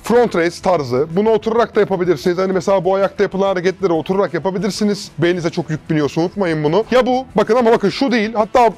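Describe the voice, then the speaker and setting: A young man in his twenties, a fitness coach giving a casual, energetic workout tutorial in a large gym, chatting to viewers like friends on a lapel mic. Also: high voice.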